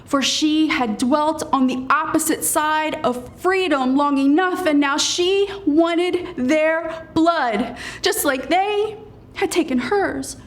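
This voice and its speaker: Woman doing spoken word poetry, really high voice, super slow n solemn, lots of heavy pauses. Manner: deliberate, solemn, with weighted pauses. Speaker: poet.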